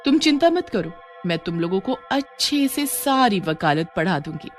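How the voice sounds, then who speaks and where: Woman voicing a lively, kindly lady teacher. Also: mid-range voice, normal speed, soft background music, lightly acted. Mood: welcoming, reassuring. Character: kind, eager female law teacher. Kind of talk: animated story voice acting.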